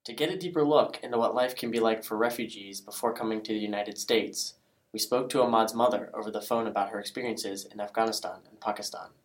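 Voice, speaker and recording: A man reading scripted feature narration in a measured, explanatory way. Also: lowish voice, rough recording. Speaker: reporter, narrator.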